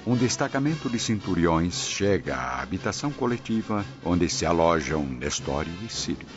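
A man's voice: low-pitched, very animated, moderate pace. A man narrating a scripted radio drama in a solemn, formal storytelling manner. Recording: muffled lo-fi radio, clear music bed. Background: music.

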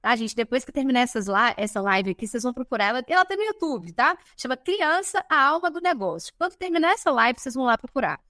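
A Brazilian woman in her thirties, a guest chatting casually on a podcast, lively and talkative, often tagging her sentences with a questioning "né?". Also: sits high, quick pace, hardly any pauses, no echo, studio quality. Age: 30s. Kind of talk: casual chat.

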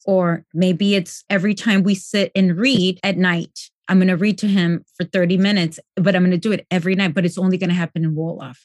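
A woman born in Colombia, a native Spanish speaker, hosting a podcast and calmly explaining parenting advice with examples. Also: lowish voice, a little fast, few pauses, no room sound, studio quality. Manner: calm, explanatory, gives examples. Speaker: podcast host, parenting coach. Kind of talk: advice-giving conversation.

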